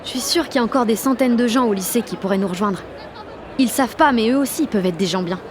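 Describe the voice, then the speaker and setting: A woman's voice: on the high side, fast, crowd noise, background noise, close to an everyday voice. A woman playing a cocky, exalted sixteen-year-old girl activist, narrating an audio drama in brash, confiding slang. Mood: elated. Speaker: lead actor and narrator.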